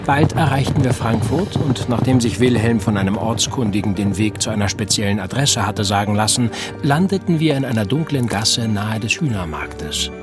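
A man narrating an old-fashioned detective tale in a measured, formal first-person voice. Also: fairly low pitch, lively intonation, music in the background, natural voice. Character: measured male storyteller, scholarly.

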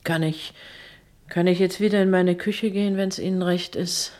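An actress playing an older, devout housekeeper, halting and subdued, in a German radio play. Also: female voice, on the low side, steady tone, a little mellow, close to an everyday voice. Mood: withdrawn. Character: old, devout, reticent housekeeper, grieving and plain.

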